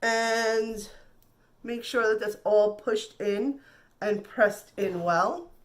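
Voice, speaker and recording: A woman's voice: mid-range voice, fairly even intonation, extremely slow. A woman with a slightly nasal voice, getting over the flu, chatting casually and reassuringly through a makeup tutorial. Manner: chatty and reassuring, repeats words. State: recovering from flu. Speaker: beauty vlogger.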